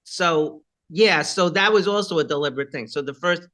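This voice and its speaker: A rock singer with a high voice, chatting casually in an interview and rambling with many fillers and asides. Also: male voice, moderate pace, sharp tone.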